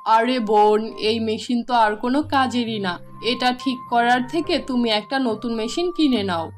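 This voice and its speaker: A woman's voice: on the high side, normal speed, soft background music, close to an everyday voice. A woman voicing a blunt, matter-of-fact male market repairman in a children's cartoon. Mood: dismissive.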